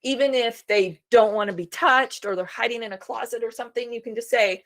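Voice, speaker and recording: A woman's voice: fairly high pitch, a little fast, fairly bright tone. A woman presenting a homeschooling webinar in a reassuring, chatty way, with frequent fillers. She is starting to lose her voice. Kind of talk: informal instructional webinar talk.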